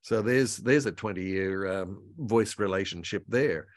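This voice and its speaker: An older man reminiscing casually as a guest, in a halting, meandering, enthusiastic way. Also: on the low side, no room sound, studio quality. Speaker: guest voice actor and author. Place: indoor room at home, on a video call. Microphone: video call.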